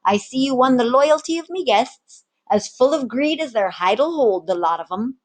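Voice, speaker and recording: Old retired sailor barkeep, male woman performing: sly, folksy, mock-pious, storybook seafaring, lifted pitch. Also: lively intonation, normal speed, natural voice. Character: wily old retired sailor turned tavern keeper, male.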